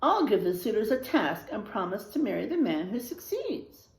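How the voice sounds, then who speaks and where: Woman performing feisty widowed rancher, storybook tall tale, exasperated, emphatic complaints, lively pitch, echoey room. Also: moderate pace, vocal fry. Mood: determined, gleeful. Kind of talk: children's storybook read-aloud.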